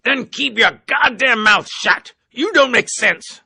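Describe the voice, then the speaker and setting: A man's voice: extremely high pitch, lively intonation, takes it slowly, dry recording, voice pushed up, heavily acted. A man reading an audiobook, voicing a bloated, bullying army colonel who bellows and browbeats. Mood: bellowing. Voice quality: gravelly raspy roar. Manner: hectoring, shouting, browbeating. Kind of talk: audiobook character voice.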